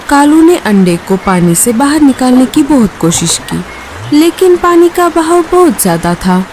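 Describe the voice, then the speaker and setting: A woman narrating a scripted children's story as a storyteller. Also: on the high side. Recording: clear rain noise behind voice.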